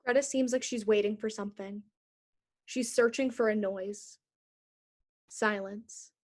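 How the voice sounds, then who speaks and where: A woman reading stage directions in a flat, level tone over a video call. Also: mid-range voice, unhurried.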